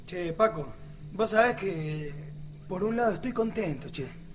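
Un actor argentino que interpreta a un miliciano obrero franco y sincero de radioteatro, con habla coloquial rioplatense llena de jerga. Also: male voice, sits high, lively intonation, a little slow. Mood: earnest, hopeful. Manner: colloquial, slangy. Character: earnest, outspoken working-class male resistance militiaman. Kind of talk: radio drama dialogue.

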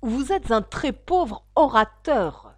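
A woman's voice: on the high side, very animated, slow, dry recording, clean studio sound, voice pushed up, exaggerated voice. A woman voicing an irascible, domineering male king who barks impatient, threatening orders in an imperious storybook manner.

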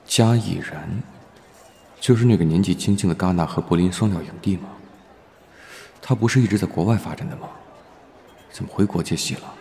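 A man's voice: low-pitched, fairly quick, vocal fry, long pauses, dark tone, a little hiss or hum. A man acting a polite, bewildered, modest actor in an audio drama, in a natural manner. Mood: puzzled.

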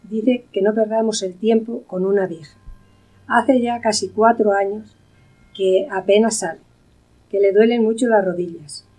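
Lady acting a worried tired daughter, soft dark voice a bit off the mic. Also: medium pitch, steady tone, a little slow, recorded from a distance, lightly acted, poor audio. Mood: worried, exasperated. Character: worried, weary adult daughter and young mother. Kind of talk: amateur radio play acting.

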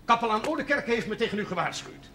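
A man's voice: very high voice, lively intonation, quick pace, background noise. An actor playing a man who talks in florid, solemn, theatrical phrases in a television drama. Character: man solemnly and theatrically relaying a priest's warning.

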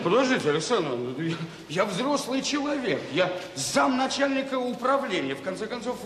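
Smug defensive soviet official guy, swoopy intonation, dismissive, echoey radio drama. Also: high voice. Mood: indignant. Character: self-satisfied, defensive male deputy department chief. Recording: echoey reverberant radio play, faint noise.

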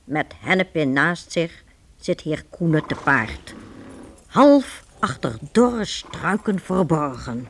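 A woman's voice: deep voice, animated, slow, slight background noise. A woman narrating a radio play as a scripted storyteller.

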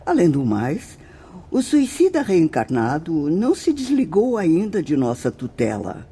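A woman's voice: low-pitched, huge pitch swings, a little slow, dark tone, husky, exaggerated voice. A Brazilian woman playing a venerable nun who guides spirits in a radio drama, speaking in a solemn, declamatory, tenderly instructive way. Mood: gentle instruction. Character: venerable, devout nun guiding spirits in the afterlife.

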